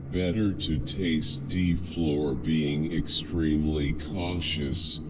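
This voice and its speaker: Very slow, deep synthetic male voice. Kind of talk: synthetic voice reading out online comments. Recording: dull lo-fi audio with steady hiss.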